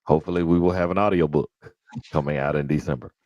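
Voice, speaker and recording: A man hosting a podcast, speaking with careful, articulate diction and playful animated asides. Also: extremely low pitch, fast talker, no echo, dull audio. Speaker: podcast host. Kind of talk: casual interview chat.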